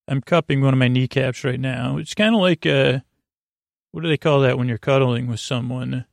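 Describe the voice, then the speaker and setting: A man hosting a bedtime podcast in a meandering, halting monologue that keeps interrupting itself, with a gently absurd, whimsical turn. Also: mid-range voice, vocal fry, no echo, clean studio sound. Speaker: podcast host.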